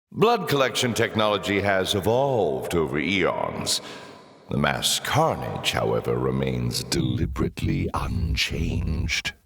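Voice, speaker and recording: A sly theatrical fallen angel game villain, silky and mocking, really slow, big echoey room around the voice. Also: male voice, low voice, gravelly, fairly bright tone, exaggerated voice. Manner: sardonic, silky, drawn-out, savouring delivery. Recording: voice in echoey hall reverb.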